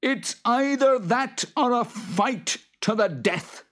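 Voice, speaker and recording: A man's voice: very high-pitched, animated, slow pace, a bit bright, voice pushed up, full character voice. A man voicing a great big hairy boggart in a storybook, greedy, dim and hot-tempered, growling and screaming. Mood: grudging, menacing. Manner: screaming, growling, fuming exclamations.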